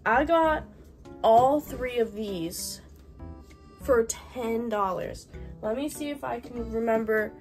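High-voiced young woman vlogging. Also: slow, darkish tone, faint music behind. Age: 20s. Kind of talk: casual haul vlog talking to camera.

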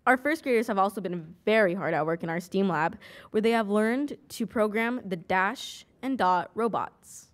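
Young female student, mid-pitched voice, careful read-aloud, desk mic in a big meeting hall. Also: normal speed. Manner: reads aloud from a script, even and careful. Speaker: student council president. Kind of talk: reading a school report at a board meeting. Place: large meeting hall with rows of seats.

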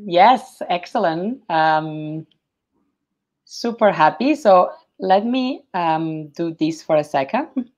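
A woman's voice: fairly low pitch, slow pace. A woman hosting a live video interview through a headset, chatty and a bit flustered. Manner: chatty, excitable, a little flustered. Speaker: host. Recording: dull lo-fi call audio.

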